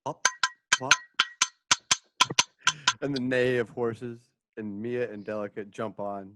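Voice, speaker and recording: A man's voice: medium pitch, fairly even intonation, takes it slowly, very noisy. A man narrating the stage directions of a radio play in an informal way.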